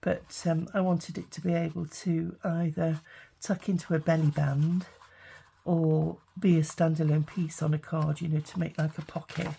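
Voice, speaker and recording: A woman with a cold, croaky from a sore throat, chatting through a craft tutorial with lots of ums and restarts. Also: low-pitched, little pitch movement, moderate pace, dark tone, slight background noise. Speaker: craft vlogger. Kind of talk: casual craft tutorial chat.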